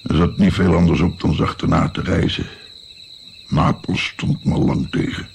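A man's voice: sits low, steady tone, moderate pace, creaky, a little hiss or hum. An actor playing an older musician and physician, recalling his naive youth in a reflective, hesitant, wry way in a radio play. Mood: wry, reminiscing.

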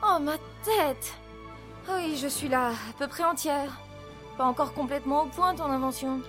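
A woman's voice: high-pitched, normal speed, a bit bright, soft background music. A woman playing a brave, affectionate young heroine and sister in an audio drama. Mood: tender, relieved. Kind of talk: audio drama acting and narration.

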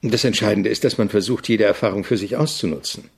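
An actor playing an opinionated, irritable avant-garde composer in a German radio drama, speaking in a didactic, deliberate way with a gravelly, husky voice. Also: male voice, on the low side. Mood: reflective, didactic.

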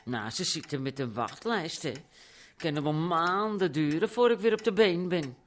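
An actress in a radio drama playing a chatty, gossipy housewife with an expressive way of talking. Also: female voice, deep voice, moderate pace. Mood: resigned. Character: chatty ailing housewife confiding in a friend.

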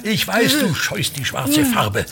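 An actor playing a pompous, tyrannical ageing actor-manager, declaiming contemptuously and repetitively in a radio drama. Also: male voice, high voice, huge pitch swings, slow pace, bright, forceful tone, exaggerated voice. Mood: imperious, nagging. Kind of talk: theatrical German radio drama monologue.

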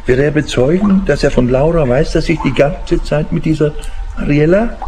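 An actor playing an eccentric, shifty married client in a radio play, rambling and hesitant with a pedantic streak. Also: male voice, a little high, normal speed, dark tone, a little hiss or hum, lightly acted. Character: eccentric, chatty married businessman client, shifty and self-amused. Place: radio drama studio.

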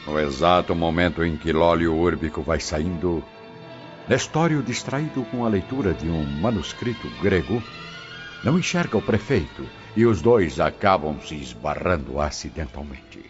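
Male narrator, radio serial: deep, dramatic, sweeping intonation, music underneath. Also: expressive, moderate pace. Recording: dull lo-fi audio, music behind.

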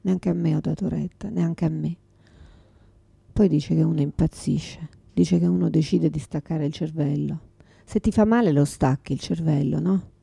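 A woman's voice: low voice, normal speed, creaky, dark tone, breathy edge, no echo, exaggerated voice. An actress playing a confused, forgetful mother in a radio theatre monologue, exhausted, bitter and pleading, speaking in repetitive fragments. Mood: bitter, rambling. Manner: fragmented, repetitive, swearing, swinging from whispers to outbursts.

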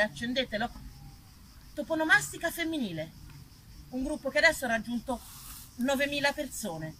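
A woman performing a live theatrical monologue, expressive and dramatic, speaking straight to her listeners as a storyteller. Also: high voice, a little hiss or hum, rough recording. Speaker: stage storyteller, actress. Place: live performance before a small audience.

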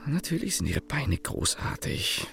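An actor playing a young, insecure engineer in a radio play, hesitant and easily flustered. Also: male voice, a little high, big pitch swings, a little slow, vocal fry, a bit bright, heavily acted. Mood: brooding, disdainful. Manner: stammering, hesitant.

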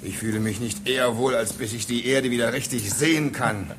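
A grumpy space station crewman, played by a man in a radio play, with a mid-pitched voice. He is curt, sceptical and impatient, a gruff grumbler who longs for home. There is clear noise behind him. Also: a little fast, lightly acted. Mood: homesick. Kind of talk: German radio drama acting, conversational.

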